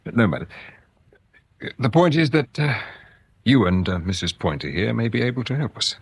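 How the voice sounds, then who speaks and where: An actor with an English accent playing a polite, quietly persistent police inspector in a radio crime drama, speaking with deliberate, courteous hesitation. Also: male voice, medium pitch, big pitch swings, gravelly, breathy edge, full character voice. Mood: politely probing.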